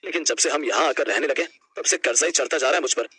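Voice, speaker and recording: Man playing a fast, husky young husband. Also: a little high, sharp tone, full character voice. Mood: exasperated. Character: young working husband, exasperated, strapped for money. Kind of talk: animated storybook acting.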